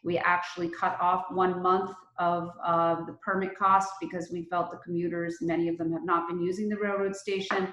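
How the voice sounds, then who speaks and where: A woman's voice: on the low side, a bit bright, a little hiss or hum. An American woman giving an informal report as a local commissioner at a public meeting held over video call. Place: indoor room, Zoom video meeting. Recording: roomy, echoey video call audio.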